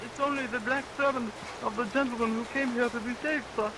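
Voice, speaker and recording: Male boy apprentice, meek, servile, very high-pitched voice; vintage radio melodrama with noisy, rainy sound. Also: fairly quick. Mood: meek, nervous. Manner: meek, protesting. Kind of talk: old-time radio acting. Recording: hissy, noisy vintage radio recording, rain. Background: steady rain.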